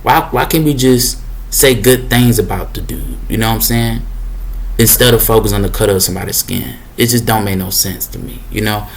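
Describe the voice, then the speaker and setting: A young man with a deep voice giving a rambling motivational monologue, casual and repetitive with slang fillers. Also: fairly quick, slight background noise.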